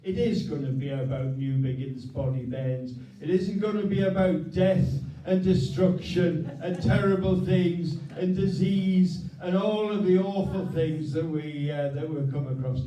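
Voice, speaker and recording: A man thanks guests and makes announcements at a community meeting, stumbling and repeating himself as he goes. His voice is very dark and mellow. He sounds far from the mic in a roomy, echoing hall. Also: on the high side. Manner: halting, many repeated words and fillers. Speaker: host of a local history group meeting.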